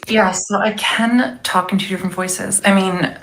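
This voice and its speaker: A woman calmly explaining something in a played clip. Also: medium pitch, moderate pace, low-quality recording.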